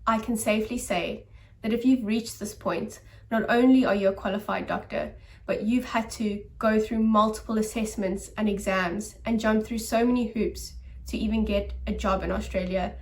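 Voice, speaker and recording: A woman doctor hosting an advice video, speaking to camera in an earnest, encouraging and steady way. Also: mid-range voice, moderate pace, recorded from a distance. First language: English. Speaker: doctor and channel host.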